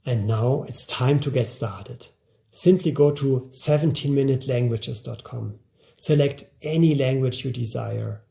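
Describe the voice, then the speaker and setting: A man's voice: medium pitch, unhurried, gravelly, warm tone. A man in his forties delivering a scripted promotional narration as a course presenter, speaking to the listener directly in a motivational way. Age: 40s.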